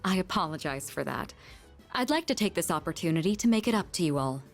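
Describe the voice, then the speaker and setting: A woman's voice: lowish voice, expressive, fast, soft background music. A woman voicing a refined, commanding senior schoolgirl in a game, polite and formal. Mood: composed, courteous. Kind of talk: game voice acting.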